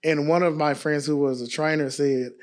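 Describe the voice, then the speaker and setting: American man, higher-pitched voice, podcast guest, playful, candid, emphatic, casual storytelling. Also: fairly even intonation, moderate pace. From Dallas, Texas.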